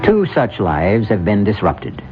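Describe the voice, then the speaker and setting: A man hosting a suspense radio drama, narrating in a measured, wry way with dramatic pauses. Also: on the low side, wildly swooping pitch, music in the background. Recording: muffled old radio broadcast.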